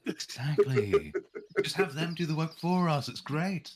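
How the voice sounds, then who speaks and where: A man's voice: a little high, big pitch swings, measured pace, a little hiss or hum. A boisterous man trading casual jokes as a guest on a stream. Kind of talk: casual joking banter.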